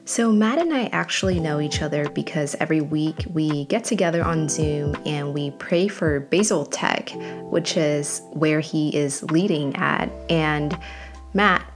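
A woman's voice: low voice, unhurried, music in the background. A woman hosting an interview, asking her guest inviting questions and stacking several at once. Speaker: interview host.

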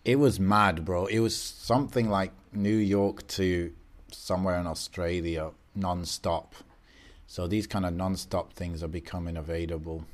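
A man's voice: low-pitched, fairly even intonation, measured pace. A man co-hosting a podcast, chatting in an easygoing, musing way with plenty of fillers. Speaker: podcast co-host. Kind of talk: casual chat.

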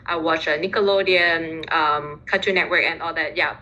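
A woman's voice: deep voice, fairly even intonation, normal speed, sharp tone, a little hiss or hum. A young woman with a Singaporean English accent, speaking as an interview guest in casual talk, hesitant, with many fillers and restarts. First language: Mandarin Chinese. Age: young adult. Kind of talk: casual conversation. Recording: muffled lo-fi sound.

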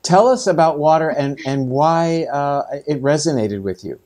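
A man's voice: fairly high pitch, lively intonation, moderate pace, darkish tone. A man hosting a podcast, asking questions in a conversational way with many fillers. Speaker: podcast host. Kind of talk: interview questions.

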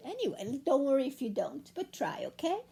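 A woman voicing a kind, encouraging friendly ghost in a told story, coaxing and soft. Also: high voice, sing-song, fairly quick, vocal fry, exaggerated voice. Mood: gentle.

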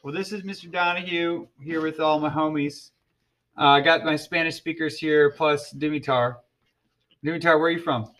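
A man's voice: fairly high pitch, fairly even intonation, moderate pace, a bit bright. A man hosting a podcast with his ESL class, joking and teasing students in casual chat.

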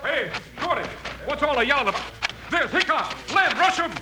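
A very noisy old radio recording holds a hostile outlaw. He shouts threats and orders in a high, rough-mannered western style. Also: animated, moderate pace. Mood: hostile, alarmed. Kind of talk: 1950s radio western acting.

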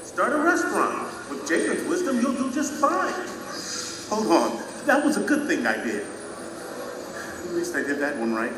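Casting: vain ex-mayor on trial, flustered and pleading, highish animated voice, audio-drama style, echoing hall. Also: male voice, a little slow. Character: anxious, self-important former mayor facing judgment. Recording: echoing hall, distant, music behind.

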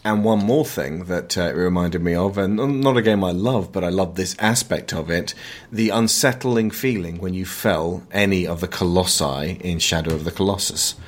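A man hosting a conversational film discussion, emphatic and opinionated, sometimes halting as he searches for words. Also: deep voice. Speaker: podcast host.

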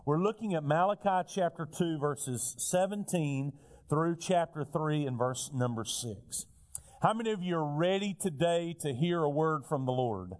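A male pastor preaching a sermon while fighting a cold, his voice a little raspy, building earnest lists of repeated phrases. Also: fairly high pitch, animated, normal speed, darkish tone. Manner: earnest preaching, repetitive lists, rising emphasis. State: has a cold, slightly raspy.

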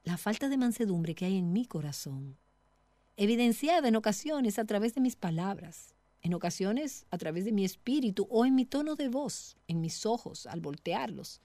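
Mellow middle-aged woman teaching devotionally. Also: medium pitch, very animated, moderate pace, no echo.

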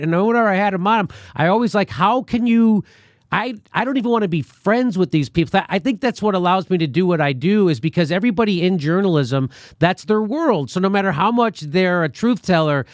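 A man's voice: high voice, no room sound, clean studio sound. A middle-aged man from the United States hosting an interview, talking bluntly and animatedly with some swearing. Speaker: host. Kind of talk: conversational interview.

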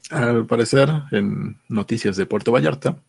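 A man, calmly reading and explaining news items in a casual discussion. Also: mid-range voice, moderate pace, creaky, husky, no echo. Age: 30s.

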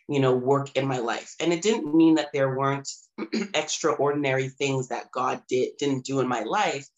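Slightly raspy woman, reflective interview guest. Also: very deep voice, dull audio. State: recovering from a cold, slightly hoarse. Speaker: podcast guest, empowerment coach and holistic healer. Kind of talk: reflective personal storytelling in an interview.

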